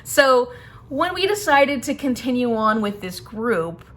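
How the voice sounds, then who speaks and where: A woman's voice: fairly high pitch, big pitch swings, unhurried, fairly bright tone. A woman speaking casually and enthusiastically to viewers as the host of a book channel, making an announcement. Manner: enthusiastic, chatty.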